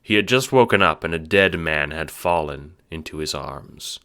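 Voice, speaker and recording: A man's voice: a bass voice, animated, normal speed, a bit bright, clear, resonant tone. A man giving dramatic, scripted storytelling narration for an audio drama.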